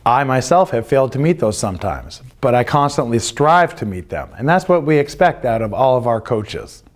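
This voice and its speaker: A man's voice: mid-range voice, sing-song, moderate pace. A middle-aged American man giving an earnest, plain-spoken instructional presentation as a youth sports coach, stressing and repeating his key points. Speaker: youth lacrosse coach and club representative. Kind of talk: instructional presentation of club rules.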